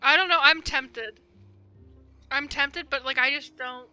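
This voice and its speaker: A woman's voice: high-pitched, measured pace, pushed and bright. A woman game streamer giving casual livestream commentary, conversational and thinking aloud. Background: faint game music.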